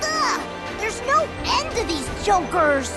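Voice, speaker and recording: A woman voicing a small, excitable, grumbling sidekick in a video game, with a squeaky voice. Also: slow, full character voice. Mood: exasperated. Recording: loud music under the voice.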